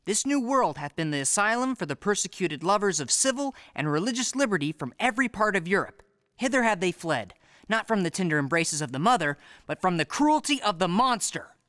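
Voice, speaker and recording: A woman voicing an idealistic, outspoken teenage boy reporter in an animated series. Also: medium pitch, a little fast, no room sound. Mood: admiring. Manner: keen, outspoken.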